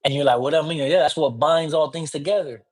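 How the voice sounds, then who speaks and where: A man's voice: on the high side, fast. Casual man explaining, checking in often. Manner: informal, often checks in with "you get me?"; mixes in Spanish words. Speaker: priest teaching divination. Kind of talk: casual teaching talk.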